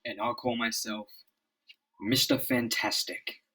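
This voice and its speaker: A man's voice: mid-range voice, flat delivery, takes it slowly, pushed and bright. A man voicing an earnest, commanding scientist hero in a melodramatic comic-book reading.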